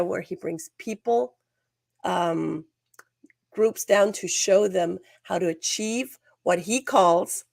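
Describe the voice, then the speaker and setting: A woman hosting an interview show, energetic and emphatic, her voice slightly hoarse today. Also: medium pitch, dry recording, studio quality. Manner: energetic, emphatic, restarts phrases. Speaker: podcast host. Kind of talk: scripted show opening and interviewing.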